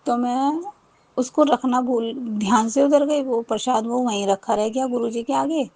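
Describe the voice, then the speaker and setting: Higher-voiced woman telling a rambling testimony. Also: measured pace, a little mellow, no room sound. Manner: rambling, many restarts and repeated thanks. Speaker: devotee sharing a testimony. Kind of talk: personal testimony.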